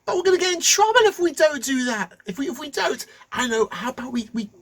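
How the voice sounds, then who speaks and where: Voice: high-pitched, lively intonation, quick pace, a bit bright, pitched above the performer's own voice. A storyteller voicing anxious male temple priests arguing and stammering over what to do. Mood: fretful. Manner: fretful, dithering, stammering.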